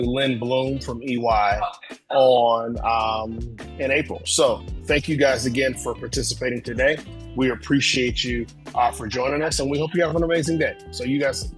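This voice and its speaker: Man giving rambling closing thanks. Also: medium pitch. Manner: repetitive thank-yous, slightly rambling. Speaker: host closing the session. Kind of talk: closing announcements.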